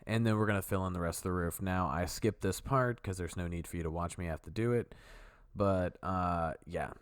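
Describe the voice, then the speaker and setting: A man with a very low voice talks through a building tutorial as a relaxed voice-over. He is sick and a bit sniffly, and he rambles easily and corrects himself along the way. The sound is dry and close, with no room around it. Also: a little fast, clean tone, no room sound. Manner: easygoing, rambling, self-correcting. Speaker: video tutorial host. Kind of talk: casual voice-over walkthrough.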